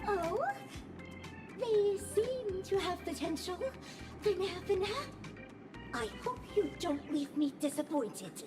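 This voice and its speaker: A woman's voice: expressive, takes it slowly, long pauses, heavily acted. A woman voicing a bossy, theatrical banana advisor creature in a video game, who slips the word "Bana" into her lines. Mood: scheming. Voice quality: high squeaky cartoonish voice. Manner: bossy, dramatic, tacks "Bana" onto words. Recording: game audio with loud background music.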